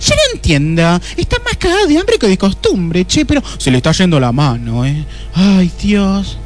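Casting: male actor as cheeky Argentine female secretary, high and very animated, exclamatory complainer for comic radio play. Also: moderate pace, soft background music. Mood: complaining. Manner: sassy, exclamatory, colloquial. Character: chatty, cheeky, complaining young female detective-agency secretary.

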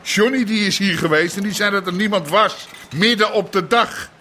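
An actor playing a bullying, foul-mouthed red-light crime boss in a radio drama, gruff and sarcastic. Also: male voice, high-pitched, normal speed, sharp tone, slight background noise. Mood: sarcastic, irritated.

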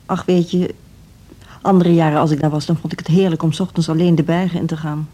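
A lowish, mellow middle-aged female voice with a Netherlands Dutch accent. She is a reserved school director who speaks in a measured, formal and sceptical way. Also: flat delivery. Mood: subdued, wistful. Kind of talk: radio drama acting.

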